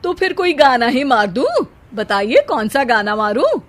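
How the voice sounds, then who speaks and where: A woman voicing a sharp-tongued, sarcastic mother-in-law in a family cartoon, mocking and scolding. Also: high-pitched, very animated, measured pace, exaggerated voice. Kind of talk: comic cartoon dialogue.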